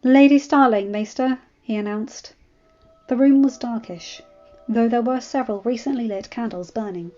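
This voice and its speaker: A woman's voice: on the high side, normal speed, dark tone. A woman reading fan fiction aloud as scripted story narration. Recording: muffled audio with music. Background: music.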